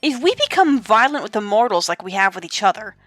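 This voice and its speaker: A woman voicing a proud, indignant queenly goddess in an audio drama, speaking sharply and forcefully. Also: mid-range voice, sing-song, fairly quick, no echo, faint music behind. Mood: impassioned, pleading.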